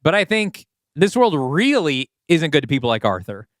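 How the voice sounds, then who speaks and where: A man's voice: a little high, big pitch swings, normal speed, dry recording, studio quality. A man chatting casually as a podcast host, with a wry way of putting things. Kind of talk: casual chat.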